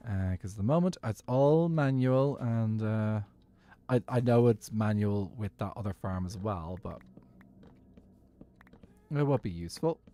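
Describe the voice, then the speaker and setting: Slow, mellow-voiced man. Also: lowish voice, animated. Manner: easygoing, musing aloud while playing. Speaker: streamer. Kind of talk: casual gaming commentary.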